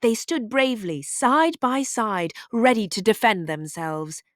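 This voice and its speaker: A woman narrating a children's storybook aloud in an expressive, deliberate way. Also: a little high, no room sound, studio quality.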